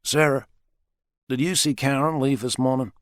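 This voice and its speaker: A man's voice: medium pitch, steady tone, moderate pace, dry recording, studio quality, pitched above the performer's own voice, lightly acted. A man voicing a determined police officer of thirty with a probing, insistent manner in an audiobook. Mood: questioning. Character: questioning, determined thirty-year-old male police officer.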